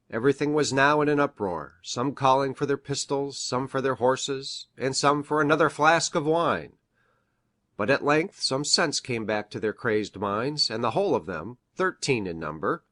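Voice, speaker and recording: A man playing an earnest doctor in a dramatic audiobook, reading formal archaic prose in a solemn, measured way. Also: medium pitch, a bit bright, no room sound, clean studio sound, lightly acted. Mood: dramatic storytelling. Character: earnest male doctor reading an old family legend.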